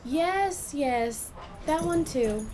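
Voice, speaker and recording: Female actor as commanding, stubborn mother of grown kids, radio-play drama; deliberate slow delivery, light ambience. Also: high-pitched, lively intonation, exaggerated voice. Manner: insistent, commanding. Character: domineering mother, brisk, stubborn, commanding her grown children. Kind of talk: audio drama dialogue. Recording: faint ambient noise behind.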